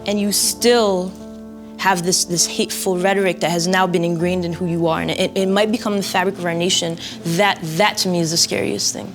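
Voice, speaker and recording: A woman's voice: on the low side, steady tone, fairly quick. A young American woman talking casually in a group discussion. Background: music.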